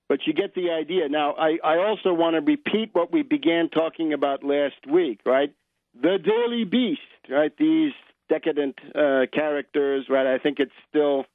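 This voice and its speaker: An American man with a slightly high voice hosts political talk radio. He is opinionated and sardonic, explaining like a professor and checking in with "right?". The sound is narrow and phone-like, with very little room in it. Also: no room sound. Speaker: radio show host and political commentator.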